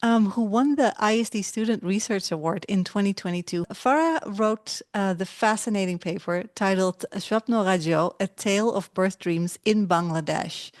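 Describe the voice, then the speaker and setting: A woman's voice: medium pitch, moderate pace, hardly any pauses, dry recording, studio quality. A woman hosting an interview who introduces her guest and asks questions in a friendly, encouraging way. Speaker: interview host.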